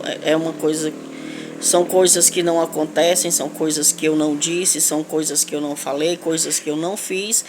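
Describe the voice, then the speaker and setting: A woman with a Northeastern Brazilian accent making an unscripted spoken complaint, halting and repetitive, with emphatic insistence. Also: fairly low pitch. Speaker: local resident making a video appeal. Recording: clear background noise, ambient sound.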